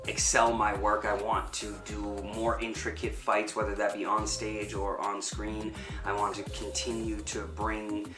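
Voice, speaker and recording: A man giving thoughtful, reflective interview answers as a performer. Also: on the low side. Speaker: performer and fight choreographer. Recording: roomy echo with music underneath. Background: background music.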